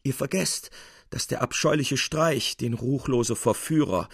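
A man's voice: mid-range voice, measured pace, creaky, breathy edge, no room sound, clean studio sound. A man voicing an indignant young woman in a story reading, scolding formally and reproachfully. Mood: angry reproach.

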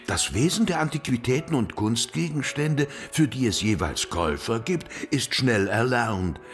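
An actor playing an indulgent, worldly antiques dealer in a radio play, effusive, drawling and theatrical. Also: male voice, medium pitch, expressive, normal speed, faint music behind, lightly acted. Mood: persuasive. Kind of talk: German radio play acting.

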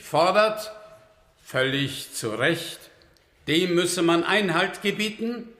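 A man's voice: a little high, fairly bright tone. An actor playing a stern presiding judge in a radio drama, formal and declamatory in his delivery. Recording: reverberant hall.

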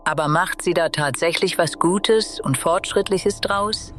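A man's voice: high voice, a little slow, a bit bright, faint music behind. A man playing a pompous, ironic narrator of a comedy radio serial, delivering wordy scripted narration. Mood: mock-serious. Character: pompous, ironic male storyteller.

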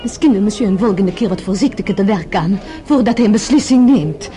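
A woman's voice: mid-range voice, lively intonation, a little fast, warm tone, lightly acted. A woman playing an elegant, haughty French-speaking lady in a radio play, formal and coolly polite, with a French accent. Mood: cool, offended. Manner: formal, cool, pointed politeness. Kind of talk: radio drama acting. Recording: music playing behind the voice.

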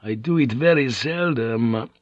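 A man's voice: mid-range voice, unhurried, dry recording, muffled recording, natural voice. A man voicing a brash, swaggering Portuguese ship's pilot in an audiobook, cocky, bluffing and coarse-mouthed. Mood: worldly, teasing.

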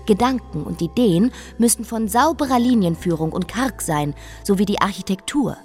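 A woman's voice: medium pitch, lively intonation, moderate pace, a little mellow, faint music behind, natural voice. An actress in a radio play as a headstrong queen, provocative and dryly ironic. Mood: mocking. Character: sardonic, headstrong young northern queen.

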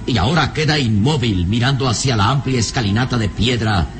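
A man's voice: medium pitch, fairly quick, a little hiss or hum, lightly acted. A man narrating a radio serial from a script in a grave, suspenseful, theatrical manner.

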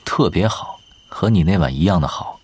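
An actor playing a laid-back, gently teasing young male gamer in an audio drama. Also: deep voice, lively intonation, moderate pace, husky. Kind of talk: Chinese audio drama acting.

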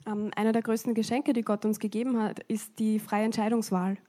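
A woman's voice: medium pitch, flat delivery, brisk, mellow. A woman interpreting a church service live, phrase by phrase, with frequent hesitation fillers.

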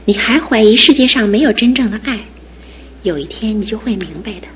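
An actress playing a devoted young fiancée in a studio radio drama, speaking earnestly and with soft insistence. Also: female voice, on the high side, fairly quick, a little hiss or hum, close to an everyday voice. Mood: gently firm. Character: devoted young fiancée, gentle but resolute.

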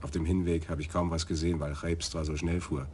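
An actor playing a dreamy detective who eagerly shows off Frisian words, in a 1980s radio drama. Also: male voice, extremely low pitch, flat delivery, a little fast, darkish tone, slight background noise. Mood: lively. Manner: enthusiastic, pedantic about words.

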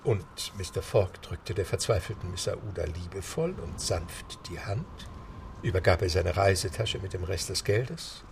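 A man giving steady, scripted storytelling narration for a radio drama. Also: low-pitched, vocal fry, noisy background.

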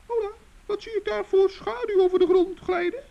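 A performer playing a kindly, earnest little male gnome with a squeaky, creaky voice in a children's radio play. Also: steady tone, moderate pace. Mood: earnest, concerned. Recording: dull old radio recording.

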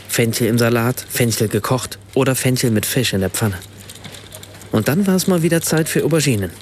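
A man's voice: medium pitch, slight background noise, natural voice. An actor playing a reflective German writer and father, narrating his own everyday story in a scripted radio play. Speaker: first-person narrator.

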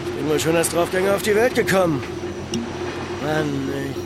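An actor playing a tipsy, boastful ship's engineer in a radio drama, rambling on in whining, cursing complaint. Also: male voice, fairly high pitch, normal speed, over loud music, close to an everyday voice. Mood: bitter, boastful. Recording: loud engine clatter, outdoor deck noise.

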